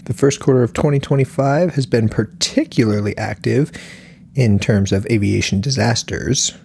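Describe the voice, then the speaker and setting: A man's voice: mid-range voice, normal speed, creaky, dark tone, a little hiss or hum. A man co-hosting a podcast, reading scripted lines with a dry, corny sense of humor. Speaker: podcast co-host.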